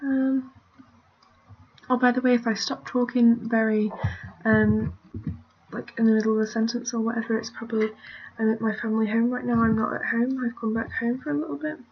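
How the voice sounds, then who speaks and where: A woman's voice: on the high side, little pitch movement, brisk, lo-fi sound, slight background noise. A British woman giving a casual, rambling drawing tutorial while she works, often trailing off into mutters and whispers, recorded on a computer mic at her family home. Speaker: art tutorial creator.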